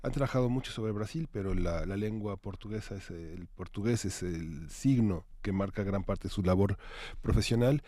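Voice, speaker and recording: A man with a Mexican accent co-hosting a magazine show, talking conversationally and haltingly, repeating words as he searches for phrasing. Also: fairly low pitch, mellow, dry recording. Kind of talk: conversational cultural recommendations.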